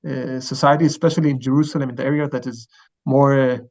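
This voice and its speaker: A man's voice: medium pitch, steady tone, fast talker, darkish tone. A man in his forties with an Israeli accent, explaining things as an interview guest, hesitant and often searching for words. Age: 40s. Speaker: chief rabbi, interview guest. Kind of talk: informal interview answers, explanatory.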